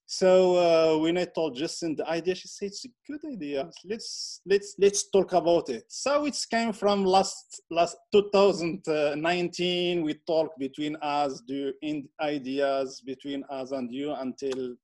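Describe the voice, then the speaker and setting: A man with a Moroccan Berber accent speaks in a fairly high-pitched voice. His first language is Berber, so his phrasing is halting, with repeated words as he explains things in an informal interview. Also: fairly even intonation, darkish tone. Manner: halting non-native phrasing with repetitions.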